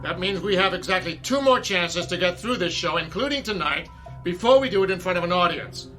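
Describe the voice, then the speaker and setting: A strict impatient theater director, kinda high pitched, lecturing the cast. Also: male voice, expressive, a little fast, pushed and bright, soft background music, low-quality recording. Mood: stern. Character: stern middle-aged male drama director. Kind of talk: radio drama acting.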